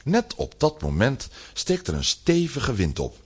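A man's voice: medium pitch, huge pitch swings, measured pace, husky, exaggerated voice. A man narrating a scripted children's audio drama as the storyteller.

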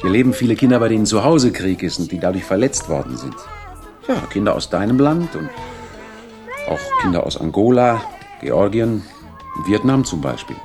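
A man's voice: on the low side, wildly swooping pitch, normal speed, over music. A man playing a friendly children's village director in a radio drama, talking casually and encouragingly as if to a child.

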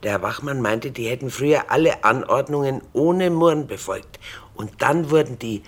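A man's voice: mid-range voice, moderate pace, slightly rough. A man playing a plain-spoken, doubtful witness in a radio drama, matter-of-fact and colloquial. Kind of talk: modern German radio drama acting.

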